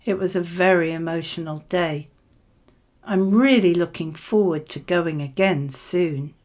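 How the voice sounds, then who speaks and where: A woman gives scripted sample answers as a model exam candidate. Her voice sits a little low and she speaks very slowly. The phrasing is measured and clear. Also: a little mellow.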